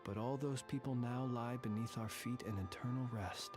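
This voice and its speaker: An actor playing a solemn, formal and courteous guardian of haunted tombs in a video game. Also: male voice, medium pitch, music in the background, close to an everyday voice. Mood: solemn, measured. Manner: formal, measured, ornate courteous speech. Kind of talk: video game character dialogue.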